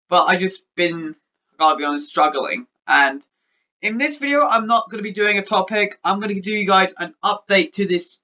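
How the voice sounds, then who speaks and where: Young male YouTuber, high and bright, rambling webcam vlog with restarts and a slight stutter. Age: young adult. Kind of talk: casual vlog update. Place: bedroom with posters, indoors.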